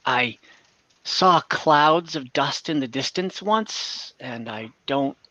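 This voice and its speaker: A man playing a weary, humble peasant traveler who speaks haltingly and apologetically in a tabletop game. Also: mid-range voice, animated, pushed and bright, no echo. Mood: halting, uneasy.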